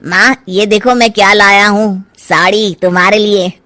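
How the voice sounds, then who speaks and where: A woman's voice: mid-range voice, fairly even intonation, moderate pace, bright, forceful tone, no room sound, close to an everyday voice. A woman voicing an insistent, self-centred grown son in a cartoon moral story. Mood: coaxing.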